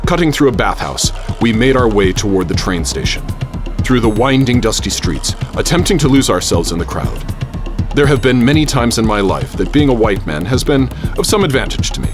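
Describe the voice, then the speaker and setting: An actor playing an adventurous, wary gentleman professor, telling his exploits in measured, literary radio theater narration. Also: mid-range voice, very animated, lightly acted. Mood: dramatic. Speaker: narrator and lead character. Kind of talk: radio theater, dramatic first-person narration. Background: music.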